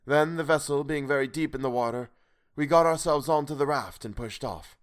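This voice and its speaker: A man voicing a weary older male castaway who speaks from a boat in the dark, with halting, broken pauses. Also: on the high side, smooth, pitched above the performer's own voice, lightly acted. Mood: solemn.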